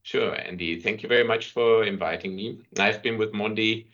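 A middle-aged man with a German accent, a native German speaker, answering business interview questions in halting phrases. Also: on the low side, a bit bright, highs cut off. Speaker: interview guest, marketing and sales director.

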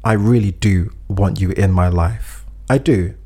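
A man's voice: on the low side, a little slow, dark tone, husky. A man co-hosting a casual chat, giving earnest advice and acting out example speeches, a bit phlegmy. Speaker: podcast co-host giving advice.

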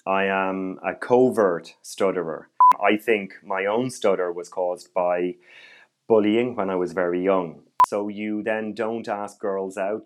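A man's voice: deep voice, slight background noise. A man who stutters, speaking as an interview guest in a candid, reflective way. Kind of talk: interview excerpt.

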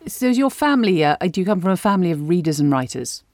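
Lower-voiced woman interviewer. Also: lively intonation, fast talker, a little mellow. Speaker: interviewer, host. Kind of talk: relaxed interview questioning.

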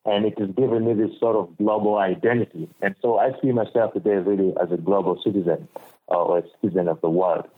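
A man's voice: lowish voice, fairly even intonation, fast. A young man from Uganda, a guest on a podcast interview, speaking earnestly and often repeating words as he thinks. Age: young adult. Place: home in Uganda, remote call. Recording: tinny phone-like call audio.

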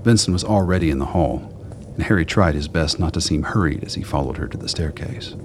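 An exceptionally deep-voiced man narrates a radio play. His voice crackles with gravelly fry, and noticeable noise sits behind it. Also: brisk. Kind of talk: scripted radio drama narration. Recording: clear background noise.

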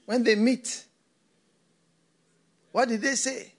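A man's voice: extremely high pitch, fairly even intonation, takes it slowly. An older man from Ghana, a pastor, preaching a sermon with repeated phrases and rhetorical questions.

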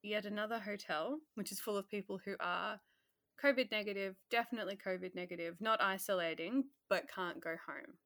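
A woman, a healthcare worker telling her story in a casual, animated interview and acting out her own earlier remarks. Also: mid-range voice, fairly quick, no echo, studio quality.

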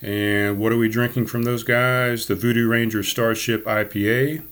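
A man hosting a casual podcast from his home studio, talking with a big, projecting voice and a rambling, relaxed flow. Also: medium pitch, normal speed. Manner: loud, projecting voice. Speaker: podcast host. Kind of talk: casual chat. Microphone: studio mic on a board.

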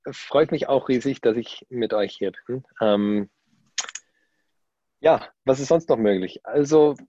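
Man, born in germany, raised in australia, halting german with many fillers, ring to it, low-pitched, very dry dull sound. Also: mellow, slightly rough, no room sound. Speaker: interview guest, facilitator. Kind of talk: casual interview talk.

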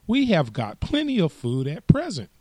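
A man's voice: a little high, wildly swooping pitch, normal speed, dark tone, husky, no room sound, pitched above the performer's own voice, exaggerated voice. A man voicing a carefree, chatty storybook grasshopper with a breezy, coaxing manner.

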